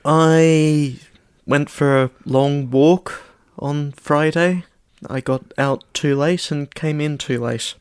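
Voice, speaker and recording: A man's voice: fairly high pitch, steady tone, slow, darkish tone, clear, resonant tone, dry recording, studio quality. A man co-hosting a podcast in casual chat, understated and hesitant as he rambles along. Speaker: podcast co-host.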